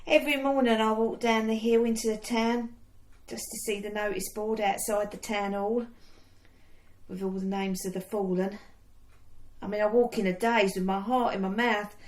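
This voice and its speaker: A woman's voice: medium pitch, close to an everyday voice. An actress playing a bold but anxious young woman in a wartime radio drama, speaking earnestly. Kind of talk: period war radio drama acting. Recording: echoey room sound.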